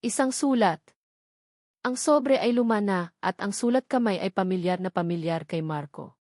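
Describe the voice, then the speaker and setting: A woman reading a dramatic love story as narrator, in a solemn, sentimental and deliberate way. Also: mid-range voice, darkish tone, no room sound, clean studio sound.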